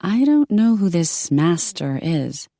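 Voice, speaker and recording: A woman's voice: on the low side, big pitch swings, slow, warm tone, no room sound, studio quality, voice pushed up, heavily acted. A woman voicing a polite but wary modern woman dentist.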